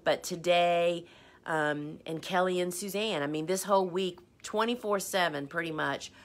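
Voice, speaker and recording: A woman hosts a relaxed live stream, answering viewer questions. Her voice sits a little low for a woman. She talks in a chatty, rambling way and pokes fun at herself. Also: moderate pace. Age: 50s. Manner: chatty, rambling, self-deprecating. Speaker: host.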